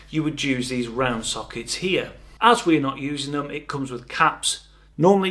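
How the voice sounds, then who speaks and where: A man's voice: mid-range voice, a bit bright. A man presenting a product overview, moving between scripted technical narration and informal, understated personal opinion. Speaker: hi-fi shop presenter and reviewer.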